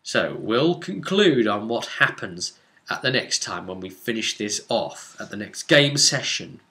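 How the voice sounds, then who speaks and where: A man with a bright, forceful voice tells the story of a tabletop game session as its game master. His delivery is excitable, and he hammers points home by repeating short phrases. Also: lowish voice, unhurried. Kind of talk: animated session recap storytelling.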